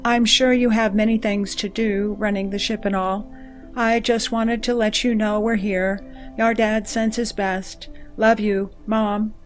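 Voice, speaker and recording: A woman voicing a caring ship computer in a sci-fi audio drama, reading a message in a gentle, measured, plain-spoken way. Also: medium pitch, flat delivery, soft background music, natural voice. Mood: calm, chatty. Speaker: voice actor.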